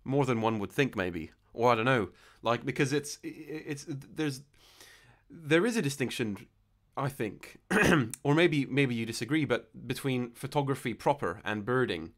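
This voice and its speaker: A man's voice: mid-range voice, expressive, measured pace. Rambling young man hosting a podcast. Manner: rambling, many restarts and fillers. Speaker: podcast host. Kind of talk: casual interview chat. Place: small room, video call. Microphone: studio mic with headphones.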